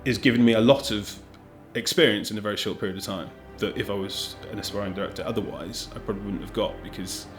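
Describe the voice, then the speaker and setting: A man, an audio drama director, telling a casual anecdote in an animated, self-deprecating way. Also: fairly low pitch, quick pace, hardly any pauses. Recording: music playing behind the voice. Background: background music.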